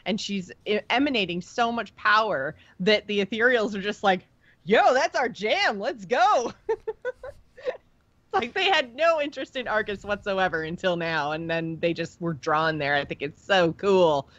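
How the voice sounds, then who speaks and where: A woman chats casually as a podcast co-host, slangy and enthusiastic. Her voice sits at a middle pitch. The recording is close and dry, though a little dull and lo-fi. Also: animated, normal speed, a bit bright, no room sound. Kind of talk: casual chat about game lore.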